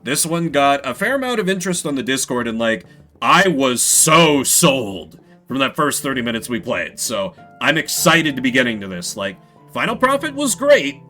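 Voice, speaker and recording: A man's voice: mid-range voice, big pitch swings, moderate pace, sharp tone, faint music behind. A man talking casually as a game streamer, rambling and often interrupting himself. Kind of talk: casual livestream chat and commentary.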